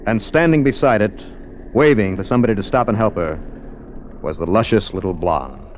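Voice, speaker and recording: A man's voice: on the low side, animated, moderate pace, a little hiss or hum. An actor playing a wry male investigator who narrates his own case in 1950s radio drama. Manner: wry, dryly joking. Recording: dull lo-fi old radio recording with music.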